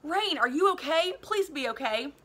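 Sheltered farm boy, thirteen read by a woman: earnest, literal storybook hero, high bright voice. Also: animated, moderate pace. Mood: worried.

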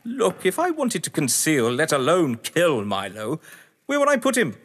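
A man's voice: fairly high pitch, huge pitch swings, normal speed, a bit bright, heavily acted. A man acting in an audio drama as a haughty, games-obsessed writer, eloquent, grandiose and sardonic. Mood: indignant, mocking. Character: haughty, theatrical games-obsessed male writer, defensive under suspicion. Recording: long echoing hall reverb.

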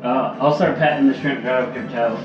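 A man's voice: medium pitch, steady tone, a little fast. A man helping his partner cook at home, coaching and teasing her in casual chat. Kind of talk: casual cooking vlog chat. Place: home kitchen, indoors. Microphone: camera across the kitchen, away from the mic. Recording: distant, echoey, dull kitchen audio with music.

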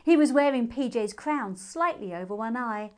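A woman's voice: a little high, lively intonation, normal speed. A woman with a British accent reading storybook narration aloud expressively for children.

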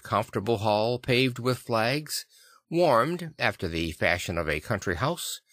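A man reading classic fiction as an expressive audiobook narrator. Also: lowish voice, no echo, studio quality. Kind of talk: expressive scripted literary narration.

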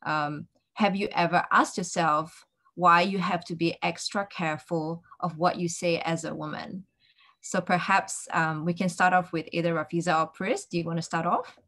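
A woman moderating a panel on a video call, speaking in a measured way with frequent fillers. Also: lowish voice, fairly even intonation, a bit bright.

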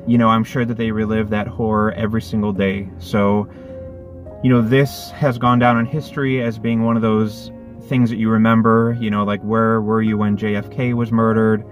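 A man's voice: on the low side, little pitch movement, moderate pace, soft background music. A man in his thirties, a delivery driver, telling a story earnestly from a parked car, with lots of restarts. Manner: earnest, thoughtful, with many restarts. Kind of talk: casual reflective storytelling. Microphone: phone camera on dashboard.

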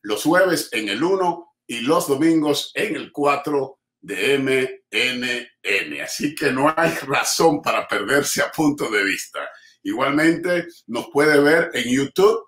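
A man's voice: mid-range voice, lively intonation, slow pace, a bit bright. A man hosting a community TV interview from home over a video call, speaking emphatically with frequent fillers. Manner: emphatic, rhetorical, hesitant fillers. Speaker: TV host. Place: home room with bookshelves. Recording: roomy echoey home room.

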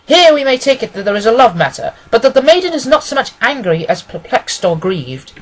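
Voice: mid-range voice, big pitch swings, a little fast, voice pushed up, low-quality recording. A reader voicing a confident, analytical male detective in an audiobook, speaking with assured precision. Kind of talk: acted audiobook dialogue.

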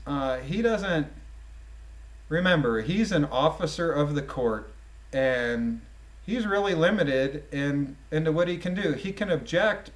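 A man reading a document aloud and adding informal, emphatic commentary with halting phrasing. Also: a little high. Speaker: commentator, former police officer.

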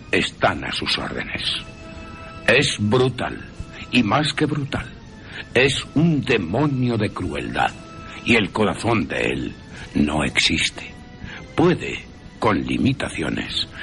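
A man with an accent from Spain narrating a horror story for radio in a theatrical, suspenseful way with long pauses. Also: fairly low pitch, vocal fry, fairly bright tone. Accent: Spanish from Spain. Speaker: radio drama narrator and presenter. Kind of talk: dramatic scripted horror narration.

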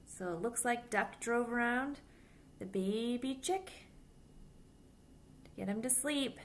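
A woman in her thirties reading a children's picture book aloud, with simple, deliberate phrasing for young listeners. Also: on the high side, slight background noise. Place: small plain-walled room indoors.

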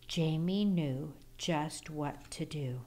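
A woman reading a children's picture book aloud as an expressive storyteller. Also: low voice, slow.

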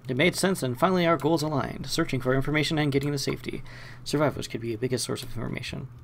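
A man's voice: medium pitch, racing, gravelly, a little hiss or hum. A man hosting a let's play, reading game narration aloud with short asides.

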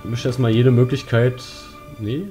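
Casual man, soft mellow tone. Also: medium pitch, level tone, moderate pace. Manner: colloquial, thinking aloud. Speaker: let's play host. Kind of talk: casual gaming commentary. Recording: game music behind.

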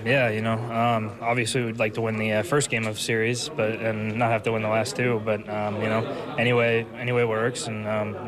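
A young man talks into a cluster of handheld microphones in a team clubhouse, with loud crowd noise behind him. His fairly low voice stays flat and even. He leans on fillers and speaks with easy humility. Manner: humble, flat, filler-heavy. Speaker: baseball player. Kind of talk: postgame clubhouse interview. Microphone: handheld reporters' microphones.

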